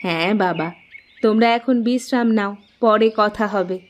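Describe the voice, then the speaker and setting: A woman voicing an older, hospitable village mother in a cartoon, gentle and motherly. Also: medium pitch, measured pace, natural voice. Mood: welcoming. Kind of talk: Bengali cartoon voice acting.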